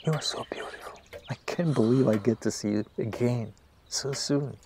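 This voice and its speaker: A man's voice: medium pitch, moderate pace, a little mellow, slight background noise, lightly acted. A man playing a distracted, amorous writer in an audio drama. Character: distracted, smooth-talking male writer, secretly amorous.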